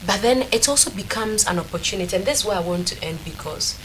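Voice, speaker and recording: A woman's voice: mid-range voice, quick pace, fairly bright tone, low-quality recording. A Ghanaian-accented woman speaking as a studio panelist in a reflective, motivational way, repeating phrases for emphasis. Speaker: talk show panelist. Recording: faint noise in studio recording.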